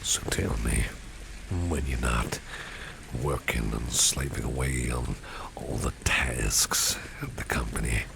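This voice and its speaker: A man playing a suave, protective businessman boss with a menacing streak in an ASMR roleplay, speaking in a gravelly, intimate half-whisper with courteous insistence. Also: a bass voice, fairly even intonation, exaggerated voice. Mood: smooth, flirtatious. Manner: unhurried, courteous, flirtatiously insistent, repeats phrases. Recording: clear background noise with rain ambience.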